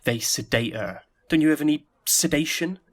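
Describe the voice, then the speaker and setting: A man's voice: a little high, lively intonation, normal speed. A man playing an edgy, evasive young man on the run in an audio play, talking in blunt, clipped, street-wise lines. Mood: pushy, guarded.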